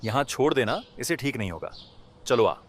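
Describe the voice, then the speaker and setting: Kindly poor villager for a cartoon, played by a man: mid pitch, very lively delivery, folksy and exclamatory. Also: normal speed, a little hiss or hum, natural voice. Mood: surprised, pitying. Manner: exclamatory, rustic colloquial. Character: kind, tender-hearted poor village man. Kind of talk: animated moral story acting.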